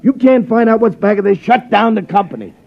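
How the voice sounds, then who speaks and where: High-pitched, blustering police sergeant. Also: male voice, fairly quick, creaky. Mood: indignant, demanding. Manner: brusque, slangy, demanding. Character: blustering, skeptical, hard-nosed police sergeant. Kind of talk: old-time radio drama acting. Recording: muffled vintage radio recording.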